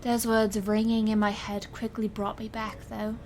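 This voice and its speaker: A woman's voice: medium pitch, monotone, normal speed, a little mellow, a little hiss or hum, natural voice. A woman playing a proud, intense stage illusionist who narrates her own magical story in a theatrical, earnest audio drama style. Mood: reflective, earnest. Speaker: narrator and lead character. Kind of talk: scripted first-person audio drama narration.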